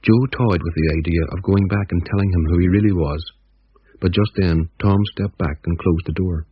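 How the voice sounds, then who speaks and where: A man narrating a radio drama in a measured storytelling manner, on dull old audio. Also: deep voice, slightly rough, no room sound. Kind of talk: scripted narration.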